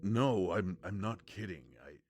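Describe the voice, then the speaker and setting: A man's voice: low-pitched, unhurried, a little mellow, no room sound, clean studio sound. A man playing a nervous, protective, old-fashioned country man in an intimate roleplay audio drama, speaking in a hesitant, folksy way. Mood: folksy, determined.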